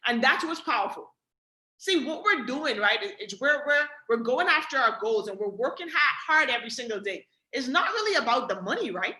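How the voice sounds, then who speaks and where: Bright Bahamian woman pitching on a video call. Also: moderate pace, reverberant, low-quality recording. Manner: energetic, repeats "right?" and "guys". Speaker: webinar presenter. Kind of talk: motivational sales pitch.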